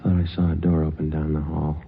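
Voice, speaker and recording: An actor playing a terse, steady police detective who gives clipped, deadpan lines in a 1950s radio drama. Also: male voice, extremely low pitch, brisk, gravelly, slight background noise. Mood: terse, intent. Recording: narrow-band old radio recording with music.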